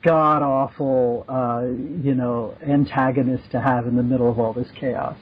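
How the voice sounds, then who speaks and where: A man's voice: mid-range voice, steady tone, moderate pace, a little hiss or hum. A man chatting casually and effusively as a podcast guest. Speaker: podcast guest, amateur voice actor. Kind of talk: casual chat. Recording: muffled lo-fi audio.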